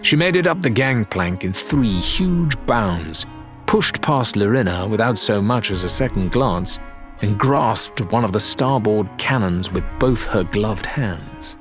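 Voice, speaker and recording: A man's voice: on the low side, animated, a little slow, faint music behind. A man reading a dramatic adventure audiobook as narrator, in scripted storytelling style.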